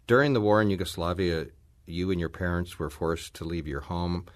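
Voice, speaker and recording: A man. He works as an interviewer, putting prepared questions to his guest in clear, plain sentences. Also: low-pitched, normal speed.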